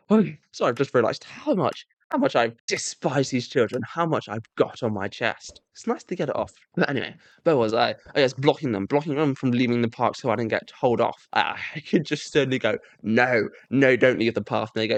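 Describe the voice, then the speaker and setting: A young man ranting sarcastically and with exasperation to his audience, breaking into sudden high-pitched outbursts. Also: animated, moderate pace, dry recording. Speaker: vlogger. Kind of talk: comedic rant to camera.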